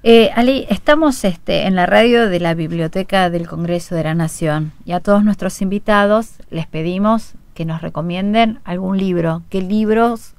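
A woman with a Rioplatense Argentine accent hosting a radio interview, asking questions with gentle fillers, in a radio studio. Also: lowish voice, moderate pace, hardly any pauses, no echo. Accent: Argentine Rioplatense Spanish. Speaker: radio host.

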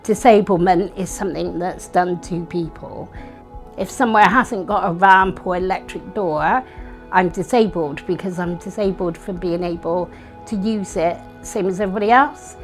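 A middle-aged British woman giving an explanatory documentary interview. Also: fairly low pitch. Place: bright indoor room with curtains. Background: music.

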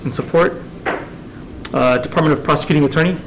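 A man's voice: a little high, slight background noise, poor audio. A man, chairing a government committee hearing from a desk microphone in a hearing room. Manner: measured, businesslike, reading out agenda items. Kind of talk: formal hearing procedure.

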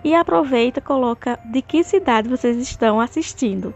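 A woman vlogger, chatting to viewers while touring a park at night, her voice a bit hoarse, recorded on a phone. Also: fairly high pitch, normal speed, dull audio. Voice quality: hoarse at times. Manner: chatty, addresses viewers directly. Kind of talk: casual vlog tour narration. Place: outdoor city park at night. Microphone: phone camera at arm's length.

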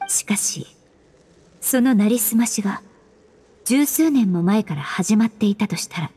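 A woman voicing a composed, polite young female investigator in an anime game, formal and analytical, with a soft breathy voice. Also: medium pitch, animated, a little slow, slight background noise, natural voice. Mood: grave, explaining.

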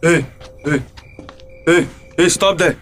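An actor with a Nigerian Pidgin accent playing a bullying street robber, taunting and commanding, in a radio drama. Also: male voice, fairly high pitch, takes it slowly, a little hiss or hum. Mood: menacing, mocking.

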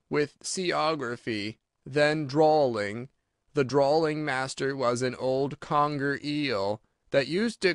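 A man voicing a melancholy old mock turtle in a storybook, sighing and self-pitying, with a slow, drawn-out, mournful delivery. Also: medium pitch, smooth, no room sound, clean studio sound, lightly acted.

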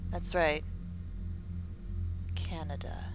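A woman's voice: level tone, drawn-out words, over loud music, rough recording. Chatty jokey woman gaming. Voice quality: lower morning voice. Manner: chatty, jokey, swears, laughs a lot. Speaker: let's play gamer. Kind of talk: casual gameplay commentary. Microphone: close desk mic.

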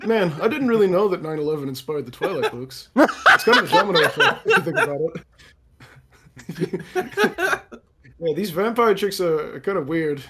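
A man's voice: high-pitched, very animated, moderate pace, long pauses, background noise. A man playing a goofy, spaced-out bookworm in an improvised comedy game fan dub, meandering and repeating himself. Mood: musing. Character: goofy, spaced-out man absorbed in trashy books.

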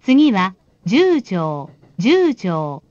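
Calm polite woman train announcer, slow and lilting, muffled dry sound from the car speakers. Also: mid-range voice, dry recording. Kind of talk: recorded on-board station announcements. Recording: dull recording from inside a train.

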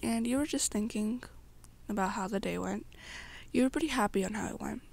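A young woman softly narrating a second-person story in an amateur ASMR style. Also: medium pitch, fairly quick, vocal fry.

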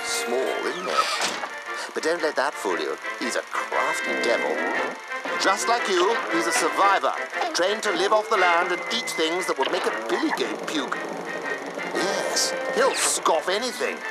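An actor playing a pompous army training film narrator, crisp, dramatic and drily mocking, in a parody wartime style. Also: male voice, a little high, big pitch swings, measured pace, over loud music, low-quality recording. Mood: ominous, sly.

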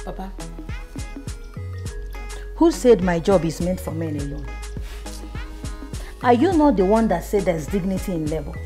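A woman's voice: fairly low pitch, animated, unhurried, pauses often, mellow, soft background music. An actress playing a proud, outspoken young woman mechanic, with a Nigerian accent and an assertive manner that slips into Pidgin. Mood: indignant. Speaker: actor in a film drama.

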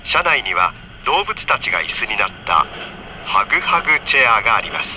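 A man reading a scripted onboard train announcement for children in friendly, polite, clearly enunciated phrases, heard over a train PA. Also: on the low side, sing-song, fairly quick, bright, forceful tone. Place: train car, over the PA system. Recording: dull train PA with faint noise.

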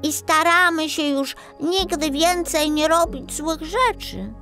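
A woman's voice: high-pitched, slow, pushed and bright, exaggerated voice. A woman playing a curious, polite little kindergarten girl in a children's audiobook, childlike and eager. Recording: music behind the voice.

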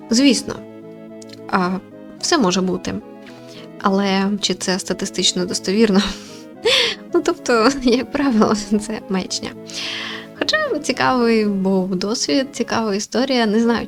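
A woman giving casual, rambling let's-play commentary as host of a gaming video. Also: a little high. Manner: chatty, rambling, explanatory. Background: background music.

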